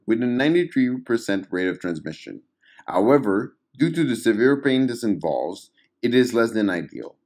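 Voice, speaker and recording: A man narrating a scripted clinical report in a measured, formal read-aloud manner. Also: mid-range voice, mellow. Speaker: narrator of fictional audio logs.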